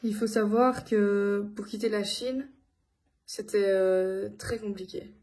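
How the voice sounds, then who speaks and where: Woman from Belgium, mellow voice, slow and halting, casual personal vlog storytelling. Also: medium pitch, fairly even intonation. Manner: hesitant, halting delivery with frequent long filled pauses. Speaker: vlogger addressing followers.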